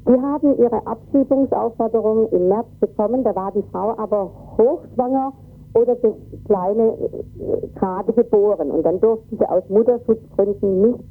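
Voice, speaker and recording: Distressed high-voiced woman, agitated and halting. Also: phone-quality sound, faint music behind. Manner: agitated, halting, repeats words, tags sentences with "ne". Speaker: interviewee, volunteer refugee worker. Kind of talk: emotional eyewitness account in an interview.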